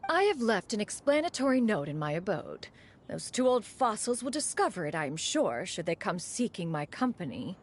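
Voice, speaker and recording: A woman's voice: mid-range voice, very animated, normal speed, husky. A woman voicing an ancient, dignified female crane spirit in a video game, speaking in a formal, archaic way. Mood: aloof. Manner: archaic, formal, refers to self as one.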